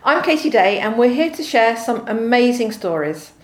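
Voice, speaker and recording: A woman's voice: on the high side, sing-song, normal speed, roomy sound. A woman hosting an interview show, reading a scripted introduction and reacting with effusive, enthusiastic interjections. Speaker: host.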